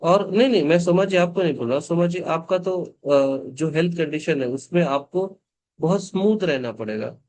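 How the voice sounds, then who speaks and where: Man, yoga teacher, fairly high voice, patient and reassuring, video call. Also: normal speed, darkish tone. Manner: patient, reassuring, mixes English words into Hindi. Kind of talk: online yoga class instruction.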